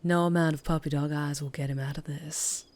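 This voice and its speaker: A woman playing a mysterious, wary and teasing female vampire biker with a Southern US accent, in an intimate ASMR roleplay with breathy whispers. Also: fairly even intonation, a little mellow, lightly acted. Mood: wry, wary. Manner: slow, low, teasing, lapsing into breathy whispers. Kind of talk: ASMR roleplay, scripted character acting.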